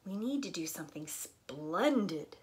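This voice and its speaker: A woman's voice: on the low side, animated, unhurried. A woman voicing a sociable, well-meaning bear in a children's storybook, earnest in manner.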